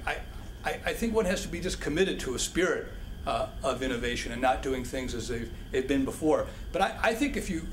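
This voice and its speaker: Hesitant man in an echoey hall. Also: medium pitch. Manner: halting, many restarts and fillers. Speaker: policy speaker answering audience questions. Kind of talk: public Q&A talk. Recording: echoey hall with background noise.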